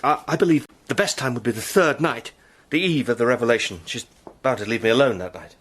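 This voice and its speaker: A haunted, anguished adventurer. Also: male voice, mid-range voice, fast talker, a bit bright, breathy edge. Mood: resolved. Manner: intense, stammering under strain. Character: Sandy, haunted British adventurer in disguise, anguished. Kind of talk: radio drama acting.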